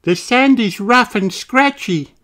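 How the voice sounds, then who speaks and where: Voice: medium pitch, animated, slow pace, fairly bright tone, voice pushed up. An adult narrator voicing an eager, bubbly little girl kangaroo in a children's storybook.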